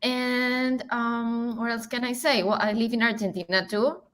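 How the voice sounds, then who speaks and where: A woman from Venezuela, a content manager, introducing herself cheerfully and haltingly on a video call. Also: on the high side, fairly even intonation, fairly bright tone, smooth. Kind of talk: casual team introduction.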